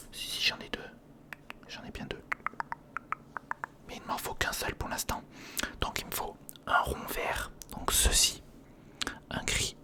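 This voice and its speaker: An ASMR creator speaking in a breathy, unvoiced whisper, casually thinking aloud and repeating words, close to the microphone. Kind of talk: ASMR, whispered build-along commentary. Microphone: close recorder microphone.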